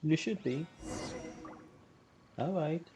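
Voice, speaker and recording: Woman streamer, very low voice, casual chatter over game music. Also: slow, lo-fi sound. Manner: casual, chatty, narrates her play. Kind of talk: casual live-stream commentary.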